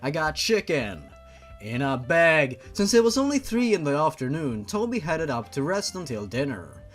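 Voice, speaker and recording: A man's voice: a little high, sing-song, moderate pace, soft background music. A man hosting a comic fan-fiction reading, narrating theatrically with mocking asides.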